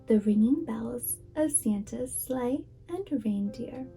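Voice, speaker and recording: A woman reads a children's storybook aloud at a very unhurried pace. Her voice is mellow and somewhat high, with light music behind. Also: animated, vocal fry. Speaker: guest storybook reader, preschool teacher. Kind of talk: children's picture book read-aloud.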